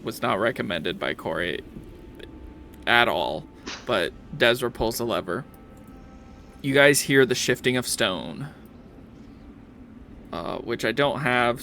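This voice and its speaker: A man's voice: medium pitch, vocal fry, fairly bright tone, slight background noise. A man casually narrating a tabletop game as game master, with a joking, self-deprecating manner.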